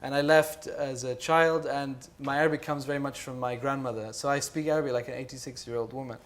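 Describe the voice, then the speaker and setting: A man's voice: medium pitch, fairly even intonation, fast. A man from Jordan speaking at a panel talk, reflective and hesitant, with many fillers and restarts.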